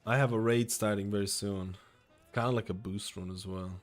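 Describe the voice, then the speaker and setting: A man's voice: on the low side, fairly even intonation, moderate pace, faint music behind. Rambling young man streaming a game. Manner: rambling, joking, swears and says bro a lot. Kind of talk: casual gameplay commentary.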